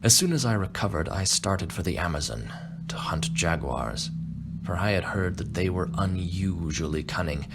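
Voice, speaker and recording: A man playing a refined, boastful aging Russian aristocrat and hunter in an audiobook, speaking in a measured, pompous way. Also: sits low, noisy background. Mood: wistful, proud. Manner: pompous, measured, self-satisfied. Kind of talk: audiobook character acting.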